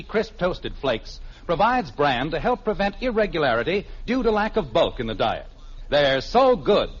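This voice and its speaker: A man's voice: a little high, husky. An American man delivering scripted narration and announcements in the style of a 1950s radio show. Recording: muffled vintage radio broadcast recording.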